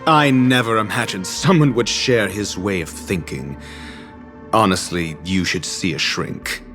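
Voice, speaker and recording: A snooty sardonic scholar dude in a game cutscene, takes his time, super expressive pitch, dry and condescending, formal wording. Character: haughty, sardonic male scholar, coolly exasperated and erudite. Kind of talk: video game cutscene voice acting. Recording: game dialogue over background music. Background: background music.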